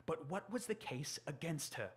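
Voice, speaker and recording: An actor playing an earnest, desperate writer who urgently tries to persuade a friend in a radio drama. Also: male voice, on the high side, lively intonation, normal speed, close to an everyday voice. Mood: urgent, persuasive. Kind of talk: stage radio drama acting.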